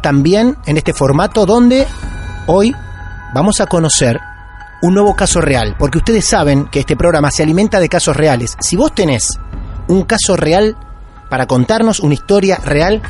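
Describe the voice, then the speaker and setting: A man with an Argentine Spanish accent and a slightly high, very animated voice hosts a podcast about real mysteries. He draws out his greetings and questions in a relaxed, chatty way, with soft music playing underneath. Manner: lively, drawn-out welcoming delivery. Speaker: podcast host. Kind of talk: casual paranormal podcast interview.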